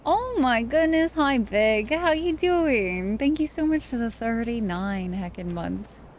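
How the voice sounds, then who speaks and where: A woman's voice: on the high side, lively intonation, unhurried, a little hiss or hum. A tired woman chatting casually with her viewers while she streams a game late at night. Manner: chatty, thanks viewers and reads chat aloud. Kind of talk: casual chat while gaming live.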